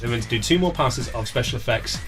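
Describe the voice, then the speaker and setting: A man's voice: medium pitch, animated, fast talker. British man chatting over background music. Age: 30s. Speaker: interview guest, author. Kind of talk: casual anecdotal interview chat. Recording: music playing behind the voice.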